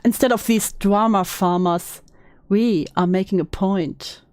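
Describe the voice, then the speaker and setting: A woman's voice: mid-range voice, fairly even intonation, measured pace, a little mellow, dry recording. A woman hosting a reaction video with casual, mocking commentary and sarcastic repetition of phrases.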